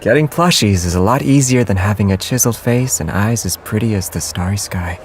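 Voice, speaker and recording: An actor as a low-voiced, gently teasing young man. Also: fairly quick, faint music behind, close to an everyday voice. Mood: quietly confident. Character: gentle, teasing, quietly confident young man, romantic lead. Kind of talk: romance game voice acting.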